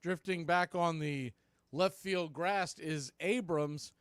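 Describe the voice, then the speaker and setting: A man works as a baseball play-by-play announcer. His voice sits a little high for a man. He delivers calls in short, clipped phrases with pauses between them, in a very dry sound free of room echo. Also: a bit bright, clear, resonant tone. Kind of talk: live sports radio play-by-play commentary.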